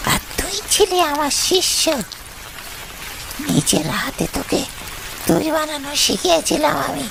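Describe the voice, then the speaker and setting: A woman voicing the vengeful ghost of a wronged curd seller in a horror cartoon, accusing and dramatic. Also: heavily acted. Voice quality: creaky, gravelly. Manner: slow, accusing, dramatic swoops in pitch. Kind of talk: Bengali horror cartoon acting. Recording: rain noise over the voice.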